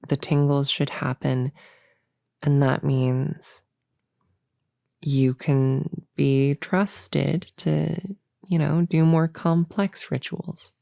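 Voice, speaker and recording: A woman playing a nervous, eager young novice witch in an ASMR roleplay, speaking softly and hesitantly, often near a whisper, close to the mic. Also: low-pitched, gravelly, dry recording, exaggerated voice. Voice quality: soft, often dropping into whisper. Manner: halting, soft-spoken, hesitant and reassuring. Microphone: close-up mic in a dry, quiet space.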